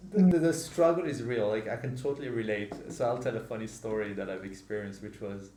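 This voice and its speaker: A man from Morocco hosting a podcast, telling stories in a casual, animated way. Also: a little fast, slight background noise. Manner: animated, grows lively and high when telling stories. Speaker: podcast host. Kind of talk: casual conversational storytelling. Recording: roomy echo.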